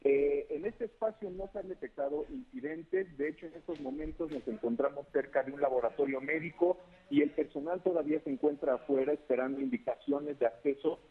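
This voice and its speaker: A man's voice: fairly high pitch, a little fast, breathy edge. Mexican male reporter on a tinny phone link. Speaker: field news reporter. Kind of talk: live unscripted news report. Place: outdoors on a city street.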